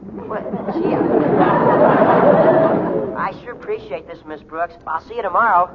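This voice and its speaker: An eager, courteous teenage boy from a vintage radio comedy. His voice is quite high and boyishly sincere, on a dulled old recording. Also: a little slow, a faint crowd. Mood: grateful, earnest. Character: earnest, polite teenage boy, gee-whiz gratitude. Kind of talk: 1940s-50s radio comedy acting. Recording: muffled, faintly noisy old radio recording.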